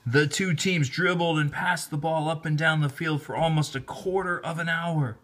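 A man reading a children's story aloud in an expressive, deliberate storytelling manner, with casual asides. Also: fairly high pitch. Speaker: storybook reader, teacher. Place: indoors, filming the book held in hand.

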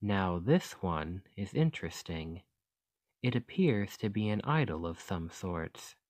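ASMR roleplay: courteous, fascinated scholar-archaeologist, played by a man, fairly low pitch, articulate and thoughtful, dry recording. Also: sing-song, unhurried, darkish tone, no room sound, full character voice. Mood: musing, fascinated. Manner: formal, articulate, musing delivery.

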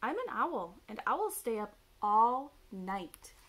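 A woman's voice: fairly high pitch, big pitch swings, slow pace, fairly bright tone, a little hiss or hum, full character voice, low-quality recording. A woman voicing a small, defiant baby owl in a children's storybook, emphatic and childlike as he insists he is fearless. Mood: indignant. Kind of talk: children's picture book read-aloud.

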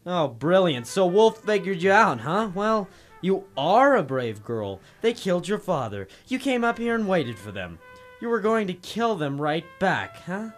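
An American actor playing a brash, wisecracking detective's legman in 1940s radio drama style, punchy and quick-tempered. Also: sits high, big pitch swings, soft background music. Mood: wry, scolding.

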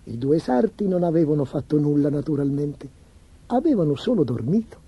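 A man's voice: a little high, big pitch swings, normal speed, slightly rough. A man narrating a scripted fairy tale for children as a storyteller. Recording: dull, muffled lo-fi track. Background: music.

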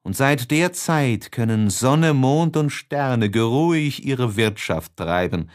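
A man narrating a literary audiobook in an expressive, measured, dramatic way. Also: mid-range voice.

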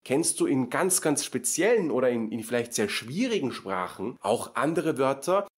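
Man, language teacher, calm voice-over, rephrases everything, medium pitch, acts out example questions. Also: moderate pace. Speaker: German teacher. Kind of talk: slow explanatory lesson for learners.

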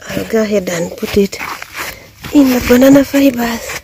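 A woman's voice: on the high side, moderate pace. A young Ugandan woman with a Ugandan accent explains each step plainly as she cooks over an outdoor wood fire, recorded by a camera on the ground. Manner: explains each step plainly, talking to viewers while working. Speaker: village cooking vlogger. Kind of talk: casual cooking demonstration. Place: outdoors by a wood fire among banana plants. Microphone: camera on the ground, distance changes as she moves. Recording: noisy outdoor camera audio.

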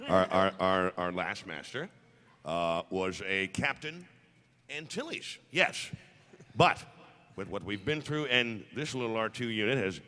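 A man's voice: low-pitched, sharp tone, long reverb tail. A man voicing a rambling talk-show host as a fussy robot butler, stammering and repeating phrases in a comic live reading. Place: large convention hall panel stage. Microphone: microphone on table stand.

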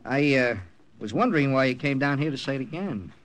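An actor with an American accent playing a seasoned secret agent captain who narrates a 1950s radio drama. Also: male voice, mid-range voice, expressive, fairly quick, fairly bright tone, lightly acted. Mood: guarded. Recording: old radio broadcast, music underneath.

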